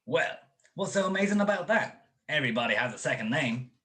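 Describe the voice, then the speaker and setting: Young man acting an excitable, dopey football jock. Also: on the high side, fairly quick. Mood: suspicious, blustering. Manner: excitable, blustering. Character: dopey young male ex-college football player, impulsive, lovesick. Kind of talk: 1940s radio comedy-mystery acting.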